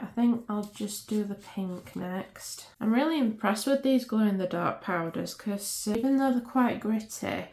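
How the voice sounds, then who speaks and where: A woman with a slightly croaky voice, chatting casually and muttering to herself as she works at her craft desk. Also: medium pitch, a little mellow. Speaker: resin craft channel host. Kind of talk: casual crafting vlog, thinking aloud. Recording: roomy echo.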